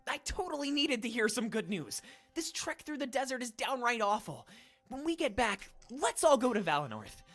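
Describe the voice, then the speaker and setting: A woman's voice: a little high, very animated, moderate pace, a bit bright, soft background music. A woman voicing a young, informal, bubbly traveling companion in a fantasy visual novel. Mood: relieved. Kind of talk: visual novel game voice acting.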